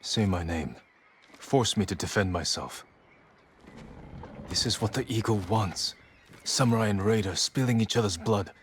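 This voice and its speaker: An actor playing a guarded, honourable young samurai lord in a dramatic video game, speaking in a measured, earnest way. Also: male voice, fairly low pitch, a little hiss or hum, lightly acted. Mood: stern, controlled.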